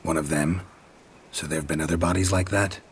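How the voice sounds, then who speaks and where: An actor playing a terse, world-weary mutant monster hunter in a video game, deadpan and blunt. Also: male voice, low-pitched, fairly quick, slight background noise, close to an everyday voice. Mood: grim, blunt. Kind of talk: video game character acting.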